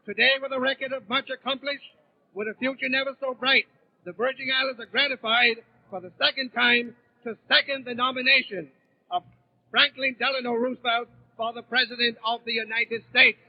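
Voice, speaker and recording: A man's voice: very high-pitched, fairly even intonation, brisk, dull audio. A man delivering a formal, emphatic 1930s political convention speech as a delegate, on an old radio broadcast recording. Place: large convention hall, at the podium.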